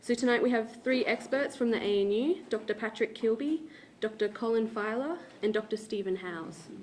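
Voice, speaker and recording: A woman's voice: a little high, normal speed, darkish tone, slight background noise. Woman moderator introducing a panel. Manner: friendly, stumbles over words and laughs it off. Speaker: event moderator. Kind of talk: introducing speakers at a public event. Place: university lecture room.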